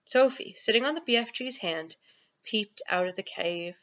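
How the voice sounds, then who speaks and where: Bright-voiced woman narrating a children's story. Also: medium pitch, expressive, moderate pace, muffled recording. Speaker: primary school teacher reading aloud.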